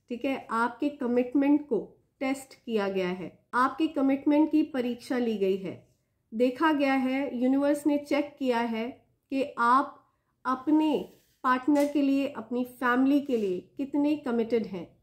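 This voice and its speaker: Slightly hoarse, higher-pitched Indian woman. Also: slow pace. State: slightly hoarse, sore throat. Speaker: spiritual reader and channel host. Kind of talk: spiritual reading addressed to viewers, Hindi mixed with English.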